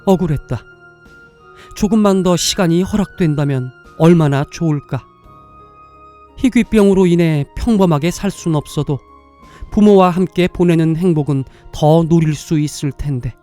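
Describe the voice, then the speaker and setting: A man's voice: a little high, normal speed, mellow. A man reading scripted story narration as the narrator of a drama. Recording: music behind the voice.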